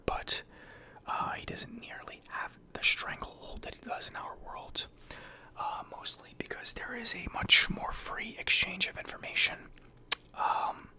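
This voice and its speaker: A man softly whispering an ASMR sci-fi journal roleplay in a breathy, hesitant, thoughtful way, close to the microphone. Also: very high-pitched. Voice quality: breathy whisper and soft speech. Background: computer keyboard typing.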